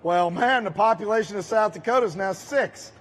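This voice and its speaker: A man's voice: sits high, a little fast. A man from Iowa with a Southern US accent, hosting live banter on an expo stage with folksy wisecracks into a handheld mic. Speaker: host. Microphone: handheld microphone.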